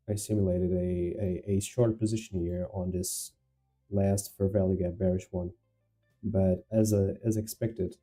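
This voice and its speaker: A man with a Portuguese accent speaks low and slowly, his voice gravelly and very dark. He hesitates often and repeats words while he walks through his trades and answers viewers. Faint music plays behind him. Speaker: day trader livestreaming to viewers. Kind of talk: live stream commentary and viewer chat.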